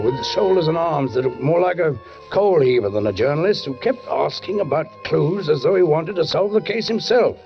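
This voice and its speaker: An actor playing a suspicious, deliberate police sergeant who narrates a murder case in a 1940s radio drama, with measured, pointed pauses. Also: male voice, fairly high pitch. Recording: dull 1940s radio recording.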